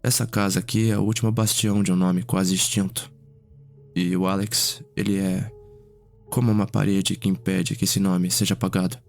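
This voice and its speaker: A man playing a curious, reflective vampire noble in an ASMR roleplay, telling his story in an unhurried way with pauses. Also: low voice, level tone, vocal fry, a little mellow. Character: Cedric, curious, reflective male vampire noble, younger brother. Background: music.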